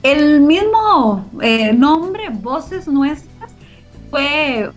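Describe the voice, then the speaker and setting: A woman's voice: high-pitched, sing-song, drawn-out words, dull audio, faint music behind. A middle-aged woman, giving reflective, explanatory answers as an interview guest. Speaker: interview guest, organization founder.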